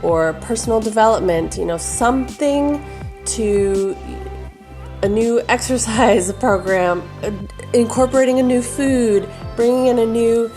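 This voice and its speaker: Hoarse woman chatting about intuition. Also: fairly high pitch, unhurried, warm tone, soft background music. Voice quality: raspy. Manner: chatty, loose, with fillers and restarts. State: hoarse, froggy from allergies. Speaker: intuition teacher. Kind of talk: casual talking-head advice video.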